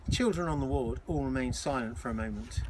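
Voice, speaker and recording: A man narrating a children's story aloud in the expressive style of a teacher reading to pupils. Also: mid-range voice, fast, noisy background.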